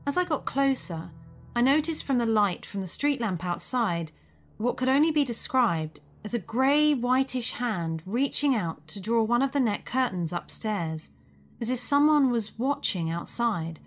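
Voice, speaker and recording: A woman's voice: a little high, animated, measured pace, soft background music. A woman narrating a spooky first-person story from a script. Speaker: narrator of a creepy true story.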